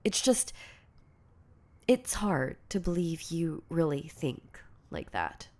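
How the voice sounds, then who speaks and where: Woman acting a hesitant, slow-talking yandere girlfriend. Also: deep voice, huge pitch swings, exaggerated voice. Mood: flustered, amazed. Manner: hesitant, stammering, soft-spoken confessing. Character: shy, insecure, lovestruck yandere girlfriend confessing obsessive devotion. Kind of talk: intimate ASMR audio roleplay.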